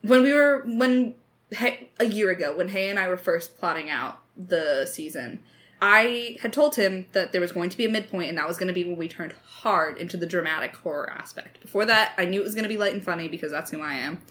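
A woman in her twenties chatting casually as a writer in a creator Q&A, candid and enthusiastic, quick to laugh. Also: on the low side, a bit bright. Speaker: audio drama writer in a creator Q&A. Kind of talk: casual chat.

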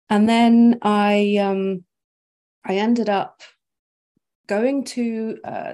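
A woman from Norway, a brand strategist, guesting on an interview over a video call, chatty and quick to laugh. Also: mid-range voice, a little mellow. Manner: chatty, laughs often, thinks aloud with fillers. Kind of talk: casual interview chat.

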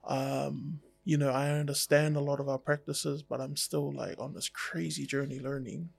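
A man's voice: a little high, level tone, normal speed, gravelly, breathy edge. A man, a tattoo artist whose first language is Tongan, talking in an easygoing, reflective way with jokey asides on a casual podcast.

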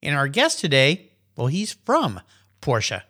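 An upbeat man hosts a podcast, greeting his guest and reading sponsor spots with enthusiasm. His voice is bright and moves lively up and down. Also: a little high, slow pace. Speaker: podcast host. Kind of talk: podcast interview and ad reads.

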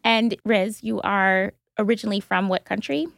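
A woman's voice: medium pitch, steady tone, moderate pace, gravelly, dry recording, clean studio sound. A woman in her forties hosting an interview podcast, talking in an easy conversational way and laying out facts before asking her guests questions. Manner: warm conversational, sets context with statistics. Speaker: podcast host.

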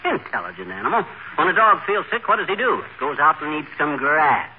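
An actor playing a boastful, lazy middle-aged husband who milks a sickness, with an excitable, blustery delivery in 1940s radio sitcom style. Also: male voice, a little high, a little fast, phone-quality sound. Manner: blustery, excitable, show-offy. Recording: thin, hissy 1940s radio broadcast.

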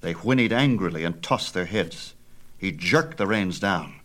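A man with a fairly low voice narrates an ancient myth. His delivery is theatrical and emphatic, with measured phrasing suited to dramatic audiobook storytelling. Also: expressive, a bit bright.

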